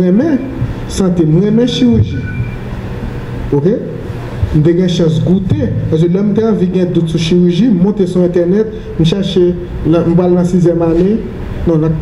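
A middle-aged man giving a business training seminar on a handheld mic, animated and often checking in with the room. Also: on the high side. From Haiti. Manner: animated, gestures while speaking, asks the room questions. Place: medium-sized indoor training room. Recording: echoey room, faint background noise.

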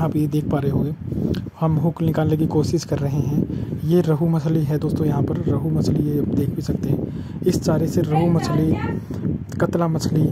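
A man's voice: on the high side, rough recording. A man hosting a fishing channel, greeting viewers and wrapping up the video outdoors in a promotional way. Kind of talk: video intro and outro. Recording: loud outdoor noise.

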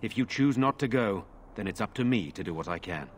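A resolute, dutiful lord, acted by a man in a fantasy video game. His voice is on the low side. He speaks formally and earnestly, in measured phrases. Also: lively intonation, slight background noise, close to an everyday voice. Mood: grave, urgent. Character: resolute, dutiful nobleman, self-sacrificing brother. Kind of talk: dramatic video game dialogue.

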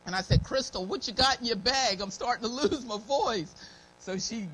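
A woman's voice: a little high, normal speed, fairly bright tone. American woman, middle-aged, church elder, informal testimony-style sermon, slightly dry scratchy throat, faint background noise. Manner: folksy, devout, repeating phrases of thanks. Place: church meeting room, at a pulpit. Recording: noisy live church stream recording.

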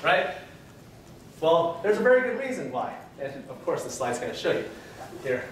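A man's voice: fairly high pitch, big pitch swings, moderate pace, heavy reverb, a little hiss or hum. A young man from Taiwan giving a joking, self-deprecating conference talk with lots of fillers, recorded from far back in a meeting room. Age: young adult. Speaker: conference presenter. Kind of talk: humorous presentation talk. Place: conference room with projector screen. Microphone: far camera.

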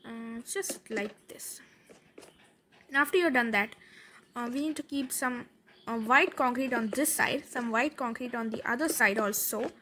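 A woman casually narrating a building tutorial over gameplay, chatty and thinking aloud, with fillers and quick laughs. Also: a little high, bright, forceful tone, slight background noise. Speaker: Minecraft building tutorial creator.

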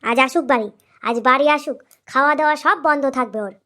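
A woman voicing an angry, sharp-tongued daughter-in-law in an amateur comedy cartoon, scolding and combative. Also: high voice. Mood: defiant, angry. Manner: scolding, combative, rapid-fire retorts.

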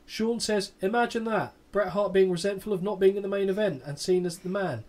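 A man in his thirties co-hosts a casual podcast, reading out a match recap and breaking off for sarcastic asides. His voice sits a little high for a man. He swears freely and keeps a deadpan tone. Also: a little fast. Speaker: podcast co-host.

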